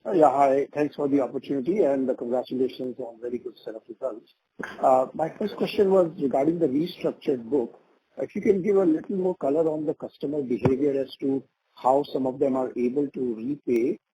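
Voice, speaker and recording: A man's voice: medium pitch, a little fast, warm tone. A man asking a question as an investor on an earnings conference call. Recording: muffled, faintly noisy phone line.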